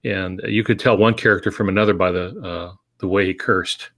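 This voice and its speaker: A man co-hosting a podcast, explaining by example in a casual, halting, measured way. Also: deep voice, steady tone, fairly bright tone. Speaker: podcast co-host.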